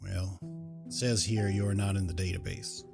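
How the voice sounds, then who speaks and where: Man playing a weary, low-voiced police officer. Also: little pitch movement, moderate pace, vocal fry, music in the background. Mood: weary, impatient. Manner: dry, matter-of-fact, folksy. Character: weary, impatient male police officer on a late shift. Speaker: voice actor. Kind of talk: audio drama dialogue.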